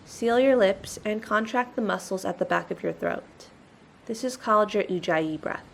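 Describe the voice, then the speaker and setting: Woman, meditation guide, soothing instructional delivery, gravelly vocal fry, faint low rumble behind. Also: normal speed.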